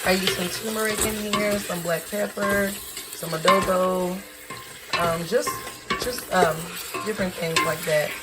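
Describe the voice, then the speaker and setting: A hoarse woman who has lost her voice, casually and tiredly talking through a recipe in her home kitchen. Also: very noisy. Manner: tired, low-key, chatty with fillers. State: hoarse, lost voice from sinus infection. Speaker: home cook vlogger. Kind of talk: casual cooking demonstration. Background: sizzling and frying in a pan.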